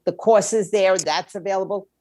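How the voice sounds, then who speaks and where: A woman's voice: mid-range voice, steady tone, moderate pace, darkish tone, dry recording, clean studio sound. A woman speaking as a guest expert in an interview, explaining things in a plain-spoken, emphatic, conversational way. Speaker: guest, music attorney and indie label owner.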